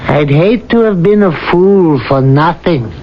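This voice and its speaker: An actor in a 1970s radio drama playing a wry, self-mocking simple villager, plain-spoken. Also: male voice, fairly high pitch, lively intonation, a little slow. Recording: muffled, hissy old radio recording.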